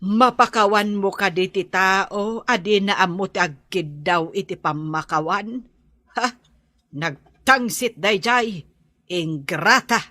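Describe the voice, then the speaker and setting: A woman's voice: medium pitch, slow, sharp tone, dry recording, clean studio sound. A woman with a Filipino accent playing a bitter, unforgiving older mother in a radio drama. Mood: bitter, scolding.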